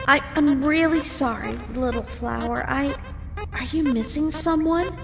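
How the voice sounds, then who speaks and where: Woman as a very high, gentle young girl. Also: measured pace, gravelly. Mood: apologetic, concerned. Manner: gentle, hesitant, earnest. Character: kind, timid young human girl, apologetic and forgiving. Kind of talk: animated comic dub acting. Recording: long reverb, music behind the voice.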